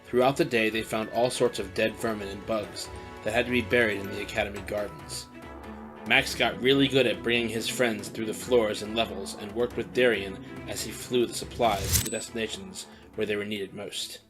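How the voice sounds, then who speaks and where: A man's voice: fairly low pitch, fairly even intonation, moderate pace, music in the background, low-quality recording. A man narrating an audio drama in a scripted storytelling style.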